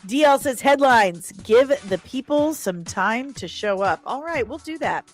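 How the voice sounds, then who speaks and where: A woman hosting a casual livestream, chatting and reading out comments in a playful, expressive, sing-song way. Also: fairly high pitch, measured pace. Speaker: live stream host reviewing comics and screen news. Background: faint background music.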